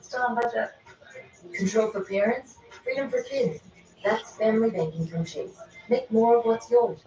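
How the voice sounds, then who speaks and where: Female commercial voice-over, mid pitch, muffled and roomy television playback. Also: moderate pace, darkish tone, a little hiss or hum. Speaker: advertisement voice-over. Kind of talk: scripted commercial narration. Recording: distant, echoey, muffled TV playback.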